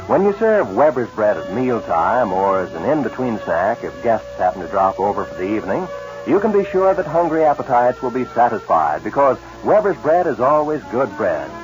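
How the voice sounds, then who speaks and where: Lively American announcer, vintage radio sound. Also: male voice, medium pitch, moderate pace. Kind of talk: 1940s radio commercial announcing, scripted. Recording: muffled vintage radio broadcast, music behind.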